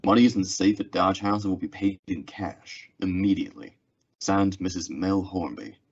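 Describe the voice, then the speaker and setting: Man acting a deep, clear-voiced weary western marshal. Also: normal speed. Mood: calm, stoic. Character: weary, stoic frontier marshal under threat. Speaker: actor in a radio western. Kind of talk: old-time radio drama acting and narration. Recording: dull, lo-fi audio.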